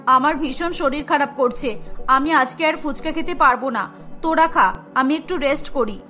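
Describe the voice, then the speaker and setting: A woman voicing an excitable, confident, food-loving college girl in a cartoon, with a girlish manner. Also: high voice, normal speed, faint music behind, pitched below the performer's own voice. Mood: weary. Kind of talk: cartoon voice acting.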